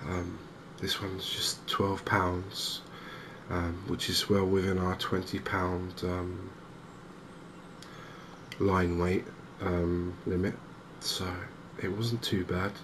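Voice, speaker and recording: A softly spoken man giving hesitant, unhurried ASMR-style commentary over a video game. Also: low voice, little pitch movement. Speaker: gaming video host. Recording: clear game ambience noise behind voice. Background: outdoor wildlife ambience from a fishing game.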